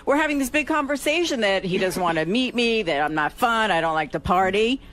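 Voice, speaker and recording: Woman with a lively, swinging voice. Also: medium pitch, a little fast. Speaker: radio news reporter on the show. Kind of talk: casual personal chat.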